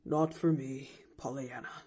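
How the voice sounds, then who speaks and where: A woman reading an audiobook, voicing a lonely older man who speaks gently, low and heavy with emotion. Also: steady tone, unhurried, slightly rough, full character voice. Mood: hurt. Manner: gentle, low, deep with emotion, sometimes near a whisper.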